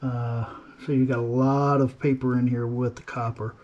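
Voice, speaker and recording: A man's voice: mid-range voice, little pitch movement, moderate pace, lo-fi sound, slight background noise. A man giving casual, folksy hands-on commentary, half to himself, as he works as a hobbyist host. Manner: muttering to himself while working, folksy mild exclamations. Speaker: hobbyist host of a scrapping channel. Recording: loud clanking and clattering of metal tools. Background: metal clatter and clicks of dismantling work.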